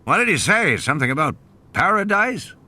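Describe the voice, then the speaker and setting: Male actor as elderly park owner, audio drama: impatient, uneasy, raised pitch, restless clipped delivery. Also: big pitch swings, a little fast, bright, forceful tone, full character voice.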